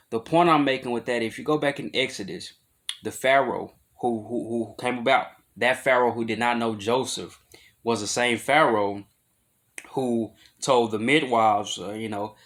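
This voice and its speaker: An adult man, talking casually to his viewers in a loose, rambling way, full of fillers and restarts, rising in volume when he drives a point home. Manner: grows louder and higher when making a point. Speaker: vlogger. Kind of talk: casual talk to camera.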